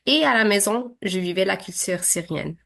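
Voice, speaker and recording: A woman's voice: mid-range voice, moderate pace, vocal fry, a bit bright. A woman in her thirties from Syria, whose first language is Arabic, answering interview questions in a hesitant way with many fillers and restarts. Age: 30s.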